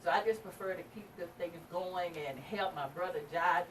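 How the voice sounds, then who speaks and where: A middle-aged woman hosts her talk radio show in a chatty way, laughing often and slipping into preachy asides. Her voice sits a bit low. She sounds far from the mic, with clear room noise behind her. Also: normal speed. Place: small studio room with a table of mics.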